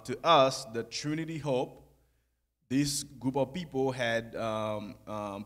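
Soft-spoken young man in a church. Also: mid-range voice. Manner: soft-spoken, halting, laughs easily. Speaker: church guest telling his story. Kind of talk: conversational testimony. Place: large church sanctuary. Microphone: handheld mic.

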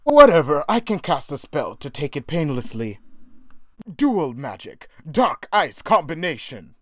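A man's voice: fairly high pitch, big pitch swings, measured pace, a bit bright, dry recording, studio quality. Man acting a petulant, swooping sorcerer. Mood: agitated, dramatic. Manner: exclamatory, dramatic. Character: petulant, pent-up sorcerer villain, dramatic and indignant.

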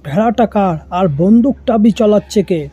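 A man voicing a stern, questioning younger gentleman in an animated cartoon horror story. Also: high voice, brisk, breathy edge. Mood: stern, alarmed. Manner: firm, questioning.

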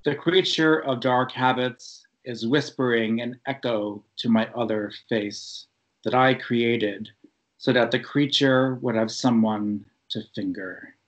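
A man reading his own poetry over a video call with deliberate, drawn-out phrasing. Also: mid-range voice, steady tone. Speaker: poet reading own work. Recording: dull, lo-fi video call audio.

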